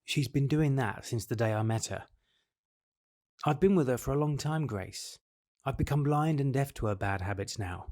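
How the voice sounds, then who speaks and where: A man's voice: medium pitch, normal speed, a little mellow, lightly acted. A man acting a resigned, patient middle-aged husband and father in an audio drama, speaking in a matter-of-fact, weary way. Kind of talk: audio drama acting, confessional monologue and dialogue.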